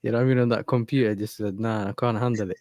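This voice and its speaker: A man's voice: on the low side, steady tone, very fast, darkish tone, dry recording, clean studio sound. A man who grew up in the Netherlands, telling a story in casual chat and checking in with yeah and innit. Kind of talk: casual chat on a live stream.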